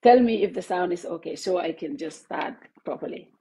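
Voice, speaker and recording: A woman with a low, husky voice hosts a live stream for her online network from her office, halting often with fillers and restarts. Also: expressive, dark tone. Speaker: host of an online news network. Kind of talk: casual live-stream address to followers. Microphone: Bluetooth headset.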